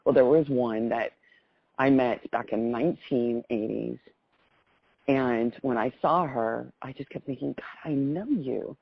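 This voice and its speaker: A woman's voice: rumbling low, unhurried, vocal fry, slightly rough, no echo. A middle-aged American woman on a phone call, telling a personal story in an effusive, rambling way. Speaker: phone caller. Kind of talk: casual spoken personal account. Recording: muffled phone call recording.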